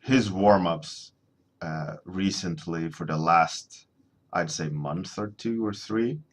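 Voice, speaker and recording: Low, slow Swedish man rambling. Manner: rambling, restarts sentences, laughs often. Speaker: livestreaming digital painter. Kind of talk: casual rambling chat while painting. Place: home studio at a desk.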